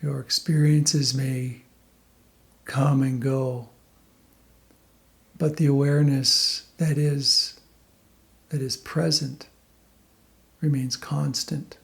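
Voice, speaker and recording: A man leading a guided meditation as a teacher, speaking calmly in unhurried phrases with long pauses between them. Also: medium pitch, gravelly.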